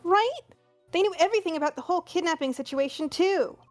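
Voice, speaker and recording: A performer voicing an excitable, indignant young girl sidekick in a video game, squeaky and exclaiming. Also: fast talker, faint music behind.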